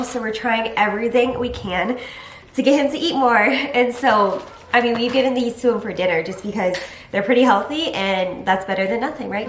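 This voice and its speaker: A congested young woman talks to the camera at home, her voice pitched a little high. She rambles casually with plenty of "like", and the room gives a roomy echo over faint background noise. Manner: chatty, rambling with many "like"s. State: congested from allergies. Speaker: vlogger, mother. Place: home, indoors.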